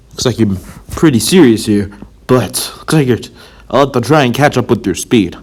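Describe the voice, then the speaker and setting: A man's voice: medium pitch, expressive, unhurried, long pauses, a little mellow. A man voicing an earnest, determined martial-arts hero with a plainspoken, exclamatory manner in amateur anime fan dub style. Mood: impressed, uneasy.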